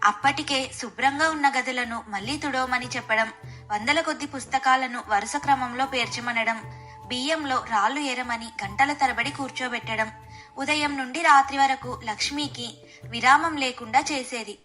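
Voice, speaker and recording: High, bright woman storyteller over soft music. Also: normal speed. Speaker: storyteller, narrator. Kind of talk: scripted bedtime moral story narration.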